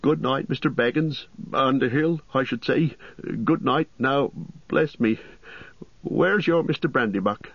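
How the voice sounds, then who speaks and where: A man's voice: mid-range voice, measured pace, vocal fry, slightly rough, dry recording, dull audio, voice pushed up. A man voicing a fussy, flustered middle-aged innkeeper, chattering in rustic turns of phrase, in a storybook reading. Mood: anxious, helpful.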